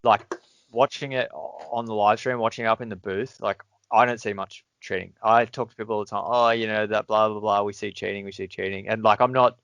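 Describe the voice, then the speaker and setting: A man's voice: on the low side, steady tone, brisk, a bit bright, no echo, muffled recording. A man hosting a podcast, easygoing and rambling, often laughing along. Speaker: podcast host. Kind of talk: casual podcast chat.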